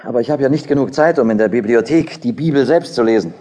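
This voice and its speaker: An actor playing a devout, questioning young German student in a historical audio drama, speaking in an earnest, declamatory way. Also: male voice, mid-range voice, fast, mellow, close to an everyday voice. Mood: earnest, eager.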